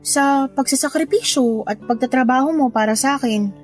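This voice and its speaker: A woman's voice: high-pitched, moderate pace, darkish tone, faint music behind, close to an everyday voice. A woman playing a grateful, studious younger sister, speaking sincerely in a radio drama. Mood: grateful, reassuring. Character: grateful, devoted younger sister, a diligent student. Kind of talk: Tagalog radio drama dialogue.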